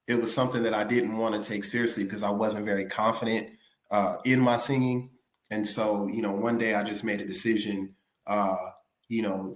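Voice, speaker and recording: A man's voice: on the low side, reverberant. A male singer being interviewed, talking casually and rambling with lots of "you know what I'm saying". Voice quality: raspy.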